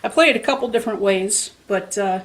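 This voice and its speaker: Woman, dulcimer player, casual chat, self-deprecating, filler words, middle pitch. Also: fairly quick, background noise. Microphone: home setup, playing in her room.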